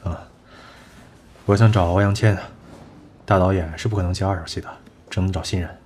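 An actor playing a blunt, proud film producer in a radio drama, curt and sardonic. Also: male voice, low-pitched, steady tone, a little fast, a little mellow, a little hiss or hum, close to an everyday voice. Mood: businesslike. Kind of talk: Chinese radio drama acting.

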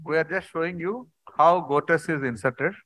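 A man, a surgeon, explaining surgical video clips in a halting conference talk over a video call. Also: on the high side, fairly bright tone, no echo, poor audio. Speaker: surgeon presenting cases.

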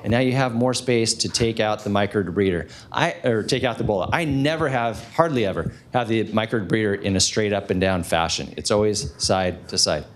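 Male moderator, 40s, energetic, handheld mic, conference room. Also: fairly low pitch, a little fast, hardly any pauses. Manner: energetic, gestures while talking. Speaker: panel moderator. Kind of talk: conference panel talk.

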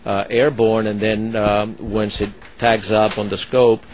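Low-voiced man explaining air traffic control. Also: flat delivery, unhurried, low-quality recording. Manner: hesitant, many fillers and restarts. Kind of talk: explaining his work to an interviewer.

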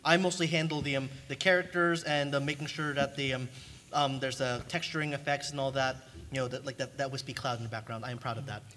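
A man talking casually on a panel, halting and repeating his words. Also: mid-range voice, fairly even intonation, sharp tone. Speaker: convention panelist, artist. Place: convention panel room with audience. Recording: faint room noise.

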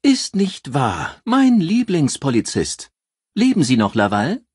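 A man's voice: fairly high pitch, huge pitch swings, takes it slowly, darkish tone, slightly rough, no echo, studio quality, voice pushed up, exaggerated voice. A man voicing an exuberant, teasing female newspaper editor with a throaty tone in a crime audiobook. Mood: elated, teasing. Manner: teasing, flirtatious, mock-formal.

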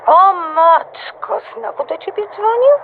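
An actress playing a concerned, blunt best friend who is quick to be indignant, in an audio drama. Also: female voice, very high voice, creaky, phone-quality sound, slight background noise, exaggerated voice. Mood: startled, curious. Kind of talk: animated audio drama dialogue.

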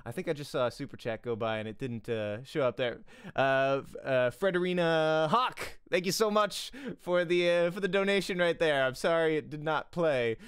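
A man's voice: a little high, moderate pace, a bit bright, clean tone, no room sound. A man in his thirties giving a casual, conversational livestream lesson as an acting teacher, close on a studio microphone in a small room. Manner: conversational, checks in often with "right?". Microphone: close studio microphone with pop filter, headphones.

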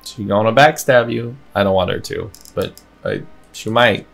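Deep, creaky-voiced male streamer. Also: moderate pace, fairly bright tone. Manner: joking, muttered short asides. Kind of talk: casual reaction commentary. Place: indoor home room. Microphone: desk streaming mic.

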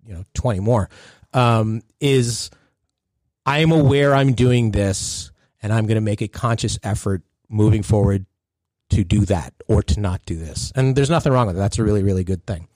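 A man's voice: lowish voice, normal speed, a little mellow, dry recording. A man hosting a podcast in casual chat, joking and laid-back, often trailing off mid-sentence. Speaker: podcast host.

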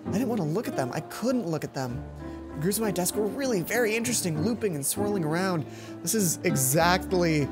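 A young man reading a visual novel aloud expressively as a let's play host, with asides of commentary. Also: a little high. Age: young adult. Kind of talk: expressive read-aloud narration and game commentary. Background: game music.

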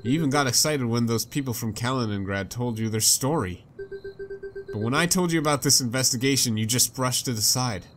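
A man's voice: medium pitch, fairly quick, lightly acted. A man voicing a stubborn, principled young female reporter in a visual novel game, firm and insistent. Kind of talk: reading visual novel dialogue aloud. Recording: game music behind.

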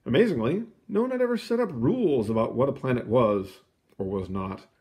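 A man reading a children's book aloud in an expressive, explanatory way, with casual asides. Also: medium pitch, brisk. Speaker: picture book reader.

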